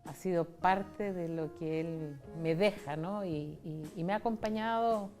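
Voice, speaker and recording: A woman's voice: on the low side, a little slow, darkish tone, faint music behind. A Chilean woman in her sixties telling personal stories as an interview guest, in a candid, conversational way. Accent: Chilean Spanish. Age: 60s. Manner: candid, conversational, retells dialogue. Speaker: interview guest, foundation founder.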